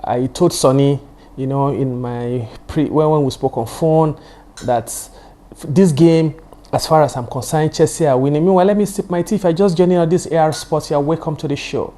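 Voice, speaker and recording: A man's voice: fairly high pitch, normal speed, dark tone. A man, Yoruba-speaking, hosting his own show and giving chatty, digressive football analysis. He repeats his points for emphasis and leans on "you know.". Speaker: football pundit and show host. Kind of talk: casual solo football analysis.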